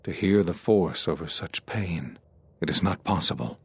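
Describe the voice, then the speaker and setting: An actor playing a solemn, regretful Jedi master in a video game, speaking in a measured, sombre and earnest way. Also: male voice, low voice, animated, vocal fry, breathy edge, no echo, heavily acted. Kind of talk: dramatic video game dialogue.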